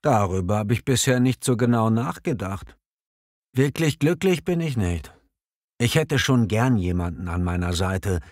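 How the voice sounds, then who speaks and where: A man playing a hesitant, choosy single man in a scripted language-learning dialogue, speaking reflectively and clearly. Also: lowish voice, animated, unhurried, a little mellow, no echo, clean studio sound.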